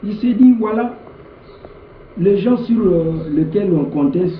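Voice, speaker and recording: A man's voice: high voice, animated, slow. A man from the Democratic Republic of the Congo with a Congolese accent, answering an interviewer as a political leader in an emphatic way, repeating words. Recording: echoey, muffled, faint background noise.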